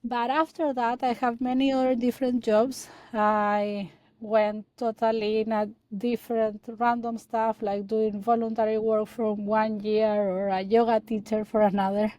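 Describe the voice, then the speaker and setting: Woman from Chile, halting and soft. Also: on the high side, flat delivery, no room sound. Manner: halting, many fillers and restarts. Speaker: guest, data analyst. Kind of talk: casual interview talk.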